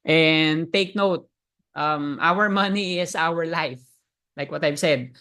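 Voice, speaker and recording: Filipino market educator, gravelly, mid-pitched, chatty live-lesson delivery. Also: slow, sharp tone. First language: Tagalog. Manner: addresses viewers directly, asks them to type in chat; frequent fillers and restarts. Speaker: trading educator.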